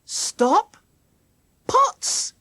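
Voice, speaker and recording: A narrator voicing an excitable, quick-witted young girl in a children's story, emphatic and exclaiming. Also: high-pitched, dry recording, pitched above the performer's own voice, heavily acted. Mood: excited, shouting.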